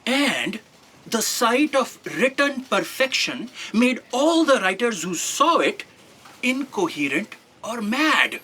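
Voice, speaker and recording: Here is a woman performing a thoughtful, hesitant female bureau worker in an audio drama. Her voice is husky yet bright and moves slowly, with a lively rise and fall. She sounds like someone puzzling things through. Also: a little high, heavily acted. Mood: concerned.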